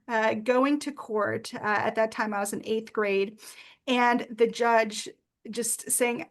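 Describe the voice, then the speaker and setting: Brief: woman presenter, higher-pitched, earnest, many "uh"s. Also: creaky. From United States. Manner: earnest, warm storytelling with frequent "uh" fillers. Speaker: workshop presenter. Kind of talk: presentation with personal story.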